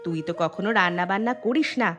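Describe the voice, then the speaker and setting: A woman's voice: medium pitch, fast talker, a bit bright, close to an everyday voice. A woman voicing a scheming, jealous young daughter-in-law in a cartoon story, with a theatrical, gloating delivery. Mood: teasing. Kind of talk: Bengali cartoon storytelling voice acting. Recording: background music under voice.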